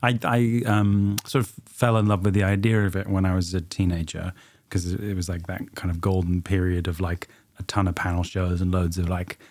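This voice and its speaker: A soft-spoken man in his thirties, a comedian guest chatting casually in a studio, hesitant and dry with lots of ums. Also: sits low, flat delivery, a little fast, no echo. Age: 30s. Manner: hesitant, dry and self-deprecating, with frequent ums. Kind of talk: casual podcast chat.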